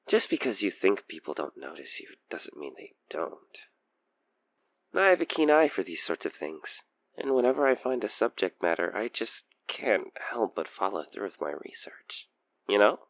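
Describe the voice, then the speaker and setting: Male actor as obsessed stalker killer for audio drama: low, theatrically animated, playfully menacing, infatuated, murmuring. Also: a little slow, dry recording, exaggerated voice. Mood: obsessive, intimate. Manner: theatrical, teasing, slipping between murmurs and whispers. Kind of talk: intimate audio roleplay monologue.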